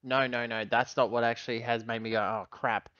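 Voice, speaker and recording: A man's voice: medium pitch, level tone, a little fast, sharp tone, no room sound, muffled recording. A man chatting casually as a player in a tabletop roleplaying game.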